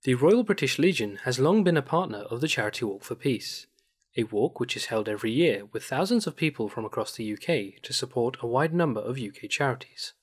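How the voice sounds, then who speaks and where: A man narrating a scripted news report as a studio voice-over, in an even, measured way. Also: mid-range voice, slightly rough.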